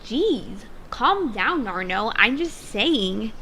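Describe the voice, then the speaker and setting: A woman's voice: on the high side, sing-song, slow, bright, forceful tone, slight background noise, exaggerated voice, rough recording. A woman playing a scornful, bossy female creature in an audio drama, scolding and snippy.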